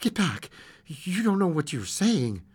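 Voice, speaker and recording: A storyteller voicing a weary, fearful old husband in a dramatic story reading, tender but aghast, with a halting stammer. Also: medium pitch, big pitch swings, darkish tone, slightly rough, exaggerated voice. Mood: shaken. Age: older.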